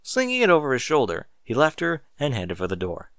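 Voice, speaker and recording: Bright, animated male story narrator. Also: mid-range voice, fairly quick, no echo, clean studio sound. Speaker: audiobook narrator.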